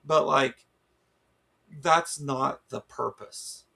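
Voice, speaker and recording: A man's voice: medium pitch, very slow, gravelly, sharp tone. A man co-hosting a casual livestream chat, rambling with lots of restarts and fillers, losing his voice. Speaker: show co-host.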